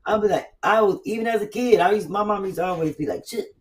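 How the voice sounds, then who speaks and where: A woman hosting a casual chat, talking in an animated, blunt, profane way with quick asides. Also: mid-range voice, far from the mic. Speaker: host.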